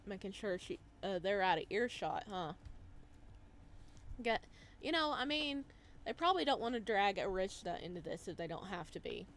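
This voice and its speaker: A woman's voice: mid-range voice, very animated, normal speed, a bit bright. A woman hosting a let's play, reading a visual novel aloud expressively with casual reactions and the odd stumble.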